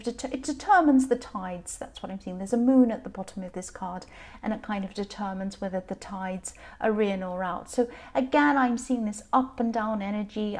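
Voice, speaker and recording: A slightly tired woman with a faintly croaky voice gives an informal tarot reading, explaining hesitantly and circling back to repeat her phrases. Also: medium pitch, a little mellow. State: a bit tired. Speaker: tarot reader, channel host.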